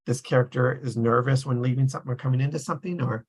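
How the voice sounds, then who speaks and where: A man's voice: medium pitch, steady tone, racing, slightly rough. A man hosting a casual group discussion, talking in a loose, rambling way that often trails off. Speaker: discussion host.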